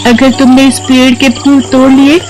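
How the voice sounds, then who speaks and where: Storybook butterfly mother, woman performer: high-pitched, motherly, earnest magical helper, music underneath. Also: little pitch movement, normal speed, natural voice, rough recording. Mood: pleading, anxious. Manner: earnest, motherly, addresses the girl as sister and daughter. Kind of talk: Hindi moral storybook acting.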